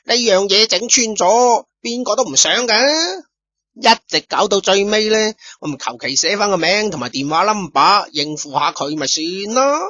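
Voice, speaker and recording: A man's voice: sits high, lively intonation, moderate pace, pushed and bright, dry recording, full character voice. A man voicing a crude, boastful young street punk who brags and cackles. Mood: smug. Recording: dull lo-fi recording.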